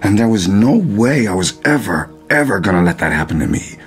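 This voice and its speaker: A man's voice: fairly low pitch, sing-song, brisk, faint music behind. An actor playing a bitter, scornful, brooding man from Cork, with an Irish accent, in a radio drama. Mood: bitter mockery. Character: bitter, scornful Cork-born man who escaped home. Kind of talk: naturalistic radio drama dialogue.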